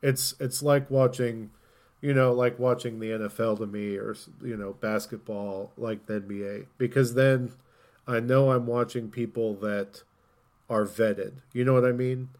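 A man hosting a casual gaming podcast, talking in an opinionated way and thinking out loud as he goes. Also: mid-range voice, steady tone, measured pace. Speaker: podcast host.

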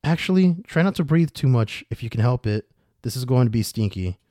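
A man's voice: mid-range voice, lively intonation, brisk, no echo, studio quality, voice pushed up, lightly acted. A man voicing a helpful, scrappy little boy in a story, giving sneaky, matter-of-fact instructions. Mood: hushed, urgent. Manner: hushed, instructing.

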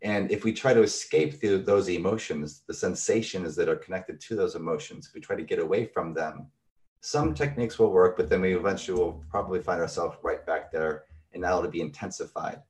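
A man's voice: low voice, fairly quick, darkish tone. A middle-aged man, a therapist, explaining concepts in a thoughtful, conversational way with everyday examples.

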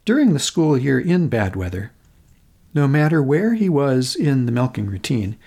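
A middle-aged man from Minnesota with an American accent, reading a personal memoir essay in a measured, literary storytelling manner. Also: mid-range voice, gravelly, darkish tone, husky. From Minnesota, United States. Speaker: podcast host.

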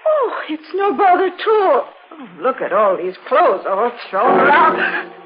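A woman's voice: high voice, very animated, unhurried. An actress playing a chatty, fussy older landlady in 1940s radio drama style, with a folksy, gushing manner. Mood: fussing. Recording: muffled, noisy old radio broadcast.

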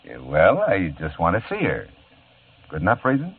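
A man's voice: low-pitched, wildly swooping pitch, moderate pace, breathy edge, exaggerated voice. An actor playing a wry, easygoing male private eye who narrates his own case in a laid-back, hard-boiled way, in 1950s radio drama style. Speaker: narrator and lead character. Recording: tinny old radio broadcast with music.

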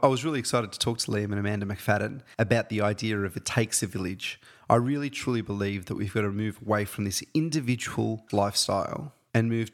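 Earnest male podcast host. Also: lowish voice. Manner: earnest, slightly halting when unscripted. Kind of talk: scripted introduction and interview.

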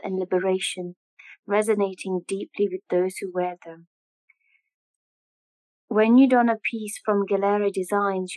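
A woman's voice: mid-range voice, no echo, poor audio. A woman podcast host who reads a polished, formal introduction and then asks interview questions.

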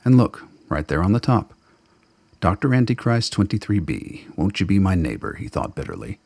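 A man narrates a radio drama in a very low voice with plenty of gravel in it. The delivery is brooding and literary, and the sound is close and dry, though the words come out somewhat husky. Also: very animated, normal speed, darkish tone, dry recording. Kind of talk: dramatic scripted narration of fiction.

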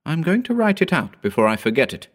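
A man voicing a vain, scornful, excitable male horror writer in a pompous, declamatory audiobook style. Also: a little high, fast, voice pushed up. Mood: eager. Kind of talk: dramatic audiobook character reading.

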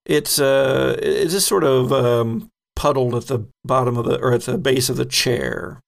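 Gravelly-voiced man running a game. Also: medium pitch, fairly even intonation. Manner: descriptive, unhurried with frequent ums. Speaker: game master. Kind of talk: narrating a tabletop horror game.

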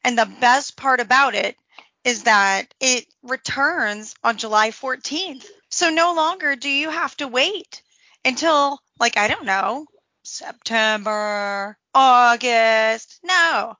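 A woman co-hosting a chatty podcast, her voice raspy but still bright and pressing forward. She talks upbeat and jokes about herself. The recording is dry and a bit dull. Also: fairly high pitch, slow, no echo. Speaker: podcast co-host. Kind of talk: casual chat.

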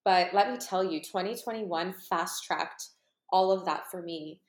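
A woman's voice: fairly low pitch, unhurried. Earnest, rambling woman in a roomy space. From Canada. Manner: earnest, rambling, heavy with fillers like "you know" and "like". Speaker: life coach and retreat host. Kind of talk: personal monologue to followers. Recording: roomy echo.

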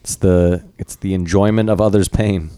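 Low-pitched man in casual podcast chat. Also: steady tone, moderate pace, warm tone, dry recording. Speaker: podcast co-host. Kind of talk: casual banter.

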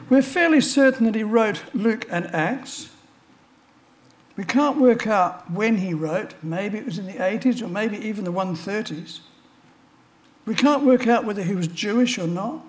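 A man, preaching a chapel sermon and telling a personal story with dry humorous asides. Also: sits high. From Australia. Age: 70s.

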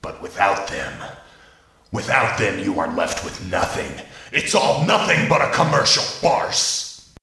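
A man's voice: on the low side, big pitch swings, a little slow, gravelly, bright, forceful tone, heavily acted. A man playing a haughty, scheming evil robot in a radio play, with a pompous, theatrical delivery. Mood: indignant, doubting. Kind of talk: audio drama villain acting. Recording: echoing voice over music.

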